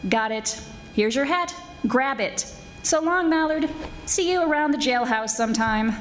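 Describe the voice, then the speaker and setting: Wisecracking female sleuth played by a woman, snappy and bossy, 1940s radio drama, higher register. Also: animated, unhurried, slight background noise, rough recording. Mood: brisk, dismissive. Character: wisecracking, brisk female private detective. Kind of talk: 1940s radio detective acting and narration.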